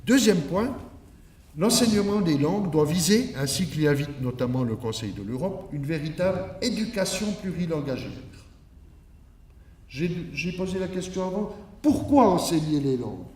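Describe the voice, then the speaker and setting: A male academic from Switzerland delivers a keynote lecture in a very echoey room, picked up from a bit of a distance. His voice sits a little high for a man. He teaches as he goes, stressing points and dropping in rhetorical asides. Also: sing-song, normal speed, darkish tone. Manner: didactic, emphatic, with rhetorical asides. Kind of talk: conference lecture.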